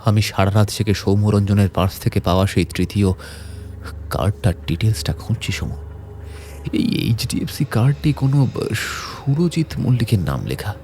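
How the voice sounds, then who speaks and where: An anxious young friend in a crime audio drama. His voice sits on the low side, and he is earnest, loyal and pleading. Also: animated, normal speed, darkish tone, slight background noise. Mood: earnest, urgent. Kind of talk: audio story acting.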